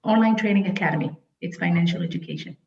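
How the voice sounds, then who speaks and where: A woman's voice: fairly low pitch, fast talker, vocal fry, a little mellow, a little hiss or hum. A woman introducing herself in a friendly way on a video call, with many ums and restarts. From Israel. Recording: roomy, dull call audio.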